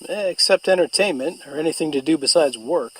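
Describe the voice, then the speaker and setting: Easygoing engineer in a radio drama, lighter voice. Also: male voice, a little high, fairly quick, a bit bright, slightly rough, rough recording. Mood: casual. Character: male engineer on an air base.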